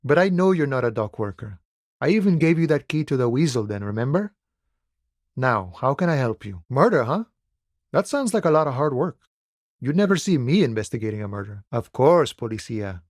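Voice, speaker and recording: An actor playing an easygoing, philosophical union dockworker in a video game, talking in a relaxed, wry and familiar way. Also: male voice, mid-range voice, a little fast, no room sound, clean studio sound, lightly acted. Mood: amused, laid-back. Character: laid-back philosophical union dockworker, easygoing, wryly friendly man. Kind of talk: video game dialogue voice acting.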